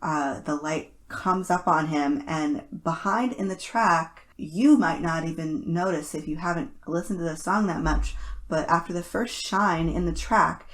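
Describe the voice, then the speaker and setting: A woman hosting a podcast, chatting analytically in a casual, thoughtful way with halting restarts. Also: sits low. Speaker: podcast host.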